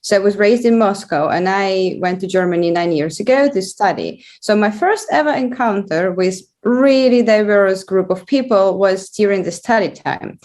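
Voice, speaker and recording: A woman's voice: a little high, darkish tone. A woman, speaking thoughtfully as a panel guest. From Russia. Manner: thoughtful, leans on "you know". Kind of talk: conversational talk.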